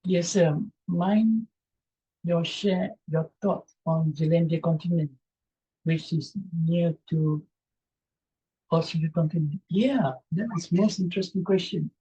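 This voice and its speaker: A man's voice: on the high side, measured pace, dark tone, breathy edge, poor audio. A man from Malaysia, presenting online and taking audience questions, reading them aloud and answering with hesitant fillers. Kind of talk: Q&A after an online talk. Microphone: video call.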